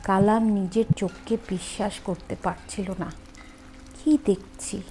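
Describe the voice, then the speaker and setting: A woman narrating a horror story in a suspenseful, drawn-out way with dramatic pauses. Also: mid-range voice, fairly even intonation, warm tone, a little hiss or hum.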